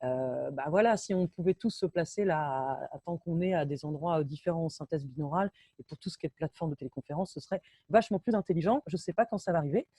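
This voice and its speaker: A woman speaks over a video call, her voice mellow and warm, recorded dry and close with no room around it. She talks shop with enthusiasm, hesitating and restarting as she goes. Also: low-pitched, fast talker, few pauses, husky, no room sound. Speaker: binaural sound engineer, guest speaker. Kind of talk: casual technical presentation. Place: home, in Brittany.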